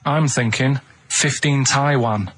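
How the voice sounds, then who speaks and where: A man in casual comic radio chat, telling things in a rambling, halting, colloquial way. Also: medium pitch, fairly bright tone, slight background noise. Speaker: radio show regular.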